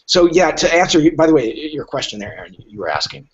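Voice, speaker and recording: A man's voice: a little high, quick pace. A man speaking casually as a literary agent guest on a podcast, telling stories animatedly and acting out bits of dialogue. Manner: animated storyteller who acts out imagined dialogue. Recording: dull, lo-fi podcast audio.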